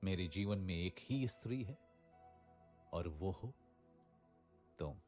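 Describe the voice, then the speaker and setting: A man playing a gentle, devoted young prince and husband in a mythological cartoon, speaking tenderly and solemnly. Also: low voice, slow, soft background music.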